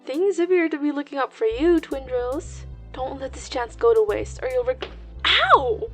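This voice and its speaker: Woman as Kaname, high-voiced brash teasing girl. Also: normal speed, soft background music. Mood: gushing, teasing. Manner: playful, brash, expressive. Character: Kaname, teasing, foul-mouthed older schoolgirl teammate. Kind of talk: audiobook character acting.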